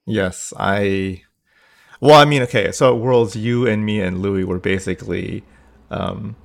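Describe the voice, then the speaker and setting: A man hosting a podcast in a relaxed, chatty way. His voice is fairly low and has a gravelly edge. He talks loosely and fills his sentences with "like" and "um.". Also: a little slow. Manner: loose, many fillers like "like". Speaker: podcast host. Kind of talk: casual chat.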